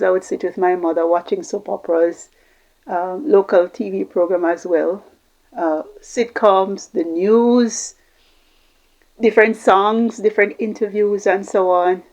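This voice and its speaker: A woman's voice: mid-range voice, darkish tone. A middle-aged woman from Jamaica, talking unscripted as a communication coach and rambling through long lists of examples. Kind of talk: unscripted talk to camera. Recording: slightly dull lo-fi recording.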